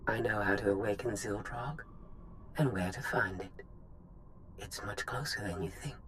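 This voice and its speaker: A woman's voice: very deep voice, normal speed, slight background noise. Cold, imperious free-willed female droid. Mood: cold, resolute. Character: cold, proud female droid who broke free of control. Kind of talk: scripted video game acting.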